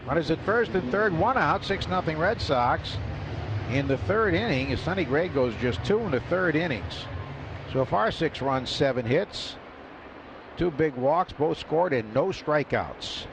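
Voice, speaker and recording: Excitable play-by-play radio announcer, man. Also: unhurried. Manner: rises sharply in pitch and volume on big plays. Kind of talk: live baseball radio commentary. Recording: lo-fi radio broadcast with loud ballpark noise.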